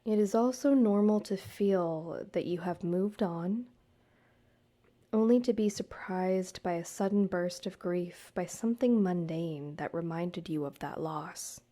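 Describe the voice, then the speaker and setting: Slow, mellow woman guiding meditation. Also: fairly low pitch. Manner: measured, soothing, deliberate pauses. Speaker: guided meditation host, counselor and yoga teacher. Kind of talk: gentle guided meditation narration, addressed to the listener.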